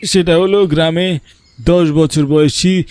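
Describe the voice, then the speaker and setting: Man doing an aged village grandpa, high voice, reminiscing, drawn-out storytelling, cartoon tale. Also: steady tone, a little mellow, no echo. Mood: grave, reminiscing. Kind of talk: Bengali cartoon story dialogue.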